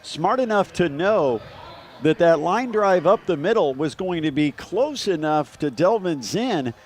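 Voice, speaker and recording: American man on a baseball broadcast breaking down plays, voice a bit on the high side. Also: lively intonation, unhurried, a little mellow. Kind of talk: live baseball commentary and analysis.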